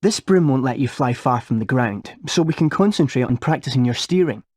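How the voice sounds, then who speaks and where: A man's voice: medium pitch, animated, brisk, darkish tone, no echo, studio quality, lightly acted. A man playing an earnest teenage sports captain, giving businesslike coaching instructions in a video game. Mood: keen, instructive. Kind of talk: scripted video game tutorial.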